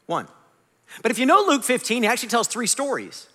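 Animated man in his forties preaching. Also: very high voice, a little fast. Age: 40s. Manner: animated, self-deprecating, conversational preaching. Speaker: pastor. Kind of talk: sermon. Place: large church hall. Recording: long hall reverb.

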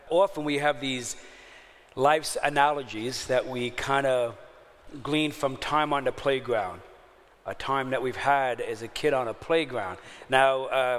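A man's voice: mid-range voice, a bit bright, big-room sound. A man, preaching a sermon in a chatty way and often checking in with his listeners. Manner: conversational, checks in with the audience often. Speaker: pastor.

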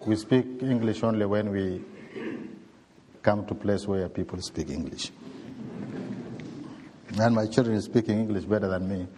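Sudanese bishop in his 40s giving a testimony, low voice, halting careful english, long echo like a big church. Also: background noise. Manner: slow, halting, heartfelt non-native English.

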